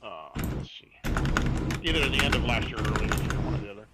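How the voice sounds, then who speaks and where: A man's voice: lowish voice, sing-song, fast talker. A man in his forties, the streamer, chatting casually and rambling through anecdotes with friends in voice chat. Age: 40s. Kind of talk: casual voice chat while gaming. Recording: noisy stream audio.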